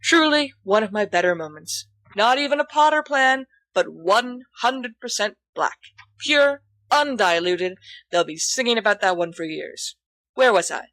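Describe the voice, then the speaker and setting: A woman's voice: mid-range voice, animated, unhurried, a bit bright, no room sound, voice pushed up. A woman voicing a boastful, theatrical teenage schoolboy who rambles grandly in an audiobook story.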